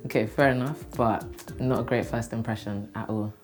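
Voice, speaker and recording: Young woman, hoarse recovering voice, giggly, frank, faint music. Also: very deep voice, fairly even intonation, moderate pace, a little mellow. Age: 20s. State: hoarse, losing her voice. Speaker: blind date contestant. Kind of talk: casual chat.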